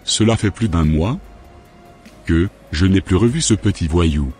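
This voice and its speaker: A man playing a stern, vengeful cheated boss in an amateur cartoon, speaking deliberately and threateningly over music. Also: deep voice, a little mellow. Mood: resentful. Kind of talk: amateur animated drama acting. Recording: background music.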